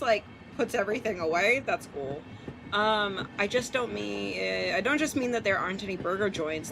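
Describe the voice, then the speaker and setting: A woman with an American accent on a live game stream, reading a visual novel aloud and commenting casually, swearing and tripping over words. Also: mid-range voice. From Portland, United States. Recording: clearly noisy background.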